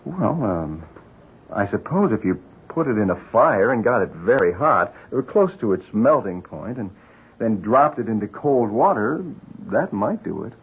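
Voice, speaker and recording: An actor playing a concerned, reasonable family friend in a 1970s radio drama, measured and sympathetic. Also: male voice, fairly low pitch, very animated, husky, full character voice. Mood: puzzled. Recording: muffled old radio broadcast.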